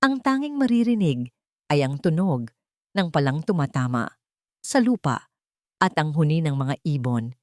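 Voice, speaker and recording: Female Filipino narrator for sentimental drama stories, slightly deep register, highly expressive melody, measured delivery. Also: dry recording, studio quality. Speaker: storyteller, narrator. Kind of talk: scripted dramatic story narration.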